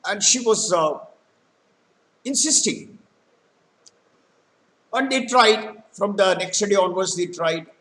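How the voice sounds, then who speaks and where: A man telling a folktale in a halting, explanatory way, with frequent hesitant pauses. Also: high voice, animated, bright, forceful tone, rough recording. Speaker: storyteller. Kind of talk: spoken folktale retelling with vocabulary explanations.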